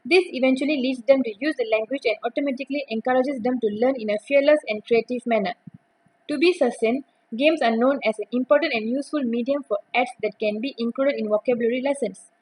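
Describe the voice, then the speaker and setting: A young woman, reading a prepared academic presentation on teaching vocabulary, steady with occasional restarts on words. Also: high-pitched, fast, husky, poor audio. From Malaysia.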